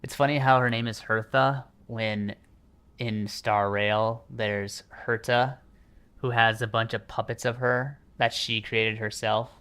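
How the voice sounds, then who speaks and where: A man's voice: medium pitch, steady tone, a little slow, pushed and bright, clear, resonant tone. A man giving casual livestream commentary over gameplay, musing and thinking aloud. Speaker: game livestreamer.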